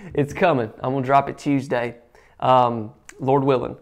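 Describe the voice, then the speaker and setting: A young man hosts a home-tour video, talking casually and warmly to camera. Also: mid-range voice, measured pace. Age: young adult. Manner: friendly, chatty, animated with hand gestures. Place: indoors at a kitchen counter. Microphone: clip-on lapel mic.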